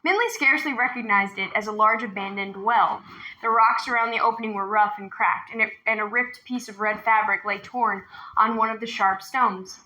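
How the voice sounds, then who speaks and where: A woman narrating a children's novel aloud in an expressive storytelling style. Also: a little high, moderate pace, rough recording.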